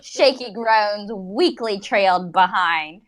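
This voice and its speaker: Theatrical, slow-paced woman narrator. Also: mid-range voice, a bit bright. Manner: theatrical, drawn-out phrasing. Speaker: narrator of a comic dramatic reading. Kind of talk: mock-dramatic fan fiction narration with asides.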